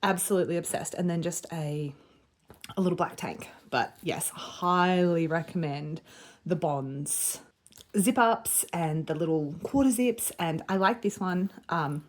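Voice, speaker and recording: A woman, chatting casually to camera in a lifestyle vlog, with lots of fillers and little laughs, and a slightly croaky voice from a cold. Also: on the low side, a little slow. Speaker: vlogger.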